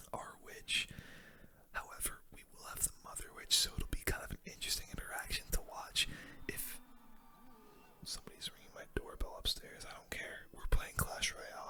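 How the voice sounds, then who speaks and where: Whispering man doing ASMR game commentary. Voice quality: breathy unvoiced whisper. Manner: casual, chatty, addresses viewers as guys. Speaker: gaming video host.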